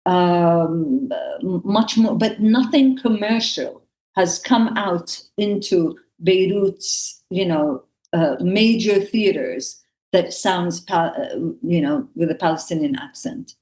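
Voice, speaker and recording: A woman's voice: on the low side, lively intonation, takes it slowly, darkish tone. A woman from Lebanon, speaking reflectively as a panel guest and often searching for words. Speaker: theatre artist and actress, panel guest. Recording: echoey room sound.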